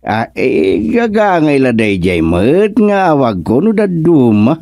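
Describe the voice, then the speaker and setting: An actor playing an easygoing older village husband in a comic radio serial, drawling and chuckling with lots of grunts. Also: male voice, on the high side, sing-song, takes it slowly, gravelly, mellow, no echo, studio quality, exaggerated voice. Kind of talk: comic radio drama acting.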